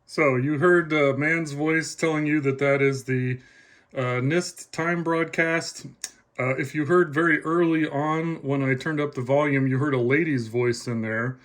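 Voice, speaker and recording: A man's voice: a little high, steady tone, unhurried, a bit bright. A man giving a casual, plainspoken explanation to viewers, like a hobbyist presenting a demonstration.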